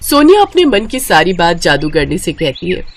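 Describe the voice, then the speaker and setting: A woman's voice: fairly low pitch, very animated, normal speed, a little hiss or hum. A woman storyteller reading a scripted tale.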